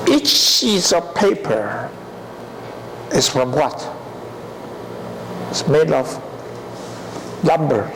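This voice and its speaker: An older man lectures at a slow, deliberate pace and keeps tossing rhetorical questions to his class. His voice is gravelly with a creaky edge and sits a little high. A faint hiss runs under it. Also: rough recording. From Taiwan. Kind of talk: university lecture.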